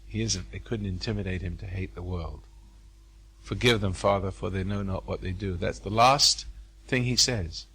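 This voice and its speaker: A man's voice: low voice, moderate pace, fairly bright tone, husky, low-quality recording. An older man speaking to a live seminar audience in a hotel room, folksy and preacherly, repeating phrases for emphasis.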